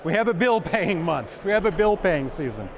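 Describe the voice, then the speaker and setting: Guy with a big booming voice and a high-ish pitch, jokey and self-deprecating, giving a conference talk. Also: very animated, moderate pace, noisy background. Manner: joking, self-deprecating, repeats questions aloud. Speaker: conference speaker. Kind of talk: presentation and Q&A.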